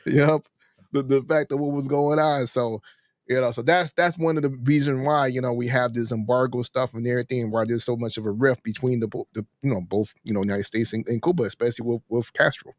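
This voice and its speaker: Male panelist, mid-pitched, dry sound, casual and wordy, explaining in a loose conversational way. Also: steady tone, brisk, no room sound. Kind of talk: casual chat.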